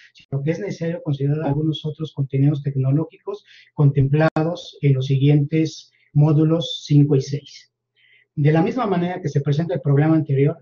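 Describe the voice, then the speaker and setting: Man, academic presenter, fairly high mellow voice, formal wordy delivery, halting in places, dull online call audio. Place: virtual conference video call.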